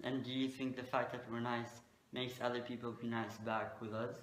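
A man's voice: mid-range voice, level tone, moderate pace, fairly bright tone, slight background noise, low-quality recording. A teenage man from Portugal with a Portuguese accent, presenting an amateur documentary as a student guide.

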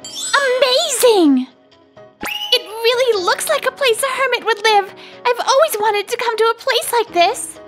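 High, bright, brash tomboy teen. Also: female voice, very animated, moderate pace, exaggerated voice. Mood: delighted. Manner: brash, blunt, easily flustered. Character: brash tomboy teenage girl fighter, secretly girlish and shy. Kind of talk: English-dubbed manga romcom acting. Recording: music behind the voice.

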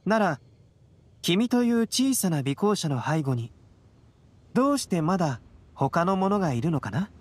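A man playing a calm young male scholar in an anime game, measured, composed and explanatory. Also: high-pitched, exaggerated voice. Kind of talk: Japanese anime game acting.

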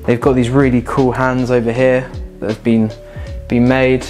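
A young man talks viewers through a house in a relaxed, enthusiastic way, pointing out features as he walks. His voice sits at an ordinary middle pitch. Faint music plays underneath. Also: normal speed. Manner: upbeat, enthusiastic, conversational while walking. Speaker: house tour host. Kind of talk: casual walking house tour. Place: large house, indoors and garden.

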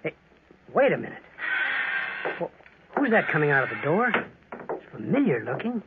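An actor playing an earnest, fussy gentleman lawyer, hesitant and stammering, in 1940s radio style. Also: on the high side, very animated, normal speed, noisy background. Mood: confiding. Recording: muffled, tinny 1940s radio recording.

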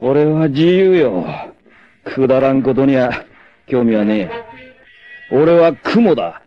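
An actor playing a carefree, roguish young martial artist and womanizer in a dramatic anime, with a cocky drawl and rough masculine speech. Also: medium pitch, lively intonation, slow, soft background music. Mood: aloof, proud. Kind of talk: dramatic 1980s anime voice acting. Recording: dull lo-fi old TV audio.

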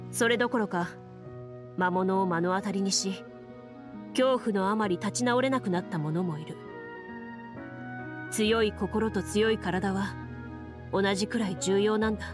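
A woman playing a composed, serious young duelist who tells lore in a measured, matter-of-fact way for a video game. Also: medium pitch, lightly acted. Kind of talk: scripted game dialogue and storytelling.

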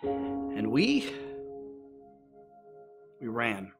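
A man playing an anguished, guilt-ridden fisherman disciple in a first-person dramatic monologue, halting and conversational like an everyman. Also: mid-range voice, huge pitch swings, creaky, over loud music, heavily acted. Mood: haunted, subdued.

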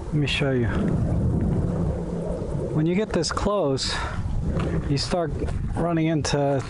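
A man's voice: medium pitch, very noisy. A middle-aged man talking casually outdoors while he works through his camera settings and explains them as he goes. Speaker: photography vlogger. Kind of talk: casual talk on a photo walk. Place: outdoors in a park by the water, and in a car.

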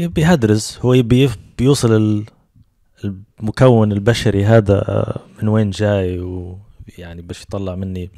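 A man in his thirties with a Libyan Arabic accent, hosting a podcast and telling a story in a relaxed way into a handheld mic. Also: lowish voice, no echo, studio quality. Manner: relaxed storytelling with quick asides. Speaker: podcast host. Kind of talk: casual conversation. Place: small home room with soft lighting. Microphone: handheld mic with headphones.

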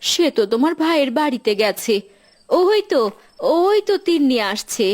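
A woman voicing a gentle, careworn village mother in a children's cartoon, with a mild manner. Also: sits high, big pitch swings, moderate pace. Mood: mildly concerned.

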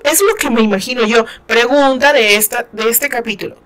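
A woman's voice: a little high, fairly quick, a bit bright. A woman with a northern Mexican Spanish accent giving casual, chatty commentary on a novel, retelling it playfully with asides to her listeners. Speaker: book commentator, channel host.

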